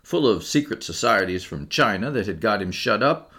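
A man's voice: fairly low pitch, animated, moderate pace, bright, forceful tone, husky, exaggerated voice. A man voicing a big, cheerful but callous asylum keeper in an audiobook, with a working-class English accent and blunt manner. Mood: dismissive. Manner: blunt, colloquial.

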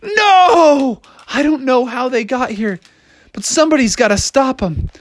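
A man voicing an excitable, brave young frog hero from a children's story, with a bouncy, exclamatory delivery. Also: extremely high pitch, measured pace, no echo, pitched above the performer's own voice, exaggerated voice. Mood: indignant.

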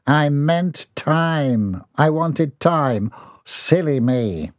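A man voicing a scheming uncle with a smooth, condescending manner. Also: fairly high pitch, animated, extremely slow, no room sound, studio quality, voice pushed up.

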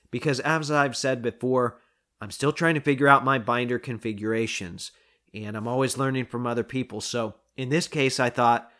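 A man's voice: mid-range voice, fairly bright tone. A man hosting his own podcast in casual solo talk, chatty and enthusiastic, getting sick and losing his voice. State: coming down with a cold. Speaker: podcast host.

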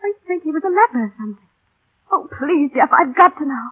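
An actress in a vintage radio melodrama playing a desperate, distrustful, emotionally wounded wife. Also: female voice, high-pitched, lively intonation, a little fast. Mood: pleading. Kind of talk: 1930s-40s radio melodrama acting. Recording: tinny, narrow vintage radio recording.

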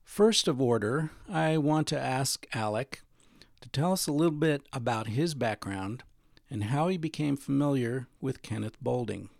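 A man with a creaky voice hosting, giving measured introductions and asking interview questions. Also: medium pitch, animated, darkish tone, no echo, clean studio sound. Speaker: host, interviewer.

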